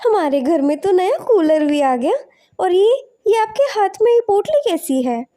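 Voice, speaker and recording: A woman voicing a curious, excitable poor village wife in an animated moral story, exclaiming and questioning. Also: extremely high pitch, unhurried, heavily acted. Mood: puzzled, curious.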